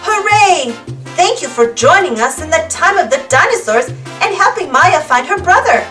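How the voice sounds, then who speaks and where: Animated high-pitched woman reading a children's story. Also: normal speed, distant mic, soft background music. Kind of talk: animated read-aloud storytelling for young children.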